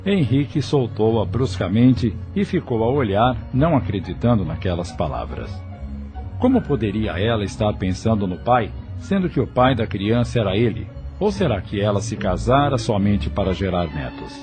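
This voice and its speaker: Deep, mellow male storyteller. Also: big pitch swings, moderate pace, soft background music. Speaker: narrator. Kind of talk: scripted audio novel narration.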